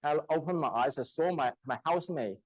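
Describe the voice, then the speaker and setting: A man from China in his thirties, whose first language is a Chinese dialect, telling a personal testimony in church in a halting, storytelling way with frequent laughter. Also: fairly high pitch, husky, no echo. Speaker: church speaker. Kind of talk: testimony sermon. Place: church hall.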